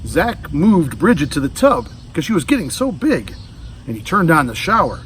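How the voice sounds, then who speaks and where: Animated man reading a storybook aloud. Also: a little high, normal speed, recorded from a distance, slight background noise. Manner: adds asides to young listeners. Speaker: storybook reader. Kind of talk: children's read-aloud narration.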